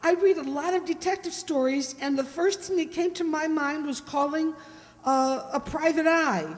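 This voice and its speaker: An actress playing a prim, nervous, dithering schoolteacher in an old-time radio detective play. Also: female voice, sits high, steady tone, normal speed, close to an everyday voice. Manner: breathless dithering, fretful. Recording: reverberant hall, stage-like echo.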